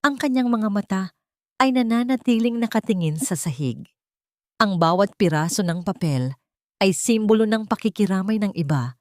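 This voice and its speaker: A woman reading a dramatic audiobook story in a solemn, deliberate way. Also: mid-range voice, expressive, darkish tone, no echo, clean studio sound. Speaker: audiobook narrator. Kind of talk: dramatic scripted story narration.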